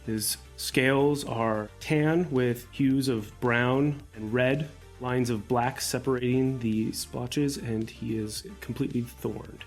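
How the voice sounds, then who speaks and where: A man playing a tabletop roleplaying game, describing his character deliberately in short phrases. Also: mid-range voice, fairly even intonation, soft background music.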